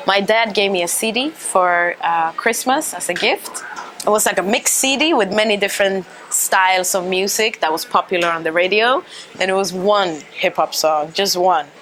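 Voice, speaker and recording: A woman's voice: mid-range voice, normal speed, fairly bright tone, slight background noise. Thoughtful young woman dancer, Swedish-raised. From Sweden. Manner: earnest, thoughtful, with frequent "huh?" tags. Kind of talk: reflective interview monologue. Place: cafe or restaurant interior. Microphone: lapel mic.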